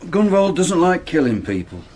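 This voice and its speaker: An actor playing a cautious, watchful policeman in a radio drama, husky, quiet and firm. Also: high voice, sing-song, moderate pace, slight background noise. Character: cautious, watchful policeman warning his partner. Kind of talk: naturalistic radio drama acting.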